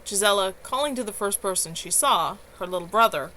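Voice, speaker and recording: A woman's voice: medium pitch, animated, a little fast, sharp tone, rough recording. Female amateur narrator, mid-range voice, earnest storytelling read, recorded at home with faint noise behind. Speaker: author reading own novel aloud. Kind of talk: expressive audiobook-style narration. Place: at home, livestream. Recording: clear background noise, home livestream.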